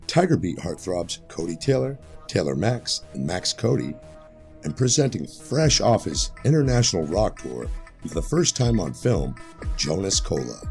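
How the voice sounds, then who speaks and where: A man's voice: deep voice, darkish tone. A man narrating a parody movie trailer in a mock-dramatic announcer style. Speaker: sketch narrator.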